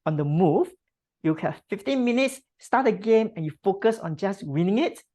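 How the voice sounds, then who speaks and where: A woman from Singapore giving thoughtful interview answers, with many pauses and tag questions. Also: mid-range voice, expressive, dark tone. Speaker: podcast guest.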